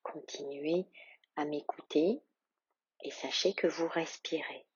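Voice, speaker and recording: A woman yoga instructor leading a guided meditation in a calm, soothing, soft and breathy voice with long, measured pauses. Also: deep voice, no echo, highs cut off.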